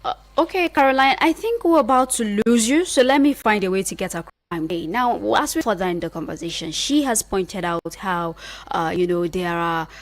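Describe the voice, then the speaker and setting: A woman with a Nigerian accent hosts a live radio interview. She speaks at a medium pitch, in the manner of a studio presenter talking with a caller. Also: moderate pace. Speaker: radio host.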